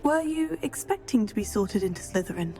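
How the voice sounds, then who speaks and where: A woman's voice: medium pitch, very animated, fairly quick, warm tone, soft background music. A woman playing a polite, confident, inquisitive teenage student witch in a video game. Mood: polite, curious. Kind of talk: scripted video game dialogue.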